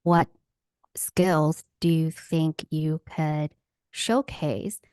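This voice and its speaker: A woman from Hawaii, a workshop coach, answering questions on a video call with an animated manner. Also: deep voice, very slow, clean tone, dry recording. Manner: animated, acts out enthusiastic quotes.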